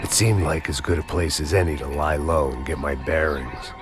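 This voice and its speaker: A bottomlessly low American male voice for a washed-up, bitter ex-cop in a video game. He narrates in sardonic, deadpan noir fashion, fatalistic and world-weary, with loud music playing behind him. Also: normal speed. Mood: grim, sardonic. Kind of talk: hard-boiled noir scripted narration.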